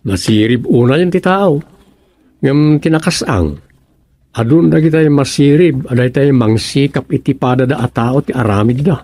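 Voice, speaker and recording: A man's voice: mid-range voice, normal speed, mellow, no echo, lightly acted. A man playing an easygoing, placating grown son in an Ilocano radio comedy, with an Ilocano accent. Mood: chatty.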